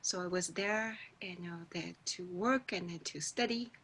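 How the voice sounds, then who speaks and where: A middle-aged Japanese woman whose first language is Japanese, telling her story in halting English on a video call as a guest musician. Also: on the low side, fairly bright tone, slightly rough. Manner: halting, searching for words in a second language. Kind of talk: reflective personal storytelling.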